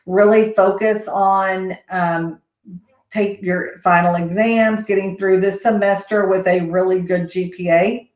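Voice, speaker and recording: A woman's voice: medium pitch, fairly even intonation, a little slow, a little mellow. A woman with a Texas accent hosting a casual question-and-answer session on college admissions, rambling with frequent ums and restarts. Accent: Texan. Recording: echoey room.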